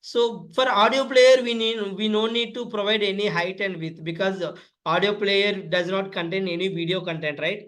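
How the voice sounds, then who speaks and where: A man's voice: sits high, steady tone, moderate pace, fairly bright tone, poor audio. A man instructing in a step-by-step coding tutorial, often repeating and spelling out terms.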